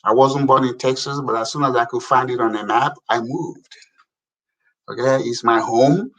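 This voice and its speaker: A middle-aged man from Africa, a guest telling lively stories about public service in a casual talk, laughing often. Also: medium pitch, normal speed, far from the mic. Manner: animated storyteller, laughs often. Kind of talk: casual radio conversation.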